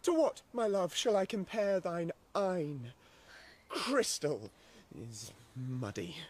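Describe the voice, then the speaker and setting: Slow, swooping young suitor. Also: male voice, sits high, very animated, full character voice. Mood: adoring. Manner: theatrical, drawn-out verse delivery. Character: proud, swooning young male rival lover. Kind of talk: classical Shakespearean radio acting.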